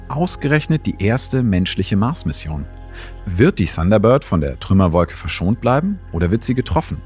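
A man hosting and narrating from a script in a measured, serious documentary style. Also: sits low, darkish tone. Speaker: podcast host and narrator.

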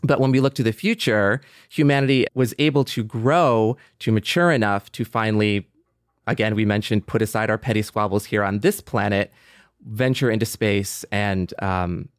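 A man's voice: on the low side, fairly even intonation, normal speed, no room sound, studio quality. A man talking casually in a panel discussion, explaining his ideas in an earnest, expansive way.

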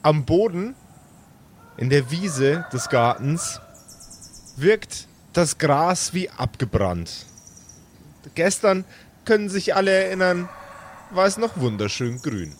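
A man narrating an improvised role-play story as game master, measured and pausing to find his words. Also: on the high side, very animated, slight background noise.

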